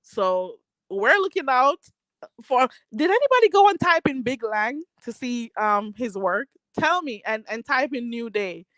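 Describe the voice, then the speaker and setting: A woman's voice: fairly high pitch, fairly bright tone, no room sound, poor audio. A woman hosting a casual livestream, reading out chat comments and chatting in a rambling way with lots of fillers and frequent laughter.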